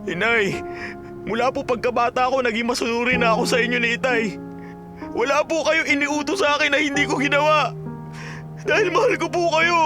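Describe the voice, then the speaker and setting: High-voiced earnest lovelorn son. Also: male voice. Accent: Filipino. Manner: pleading, emotional. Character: earnest, respectful young man pleading for love. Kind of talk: radio drama acting. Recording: loud dramatic music behind. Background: dramatic music.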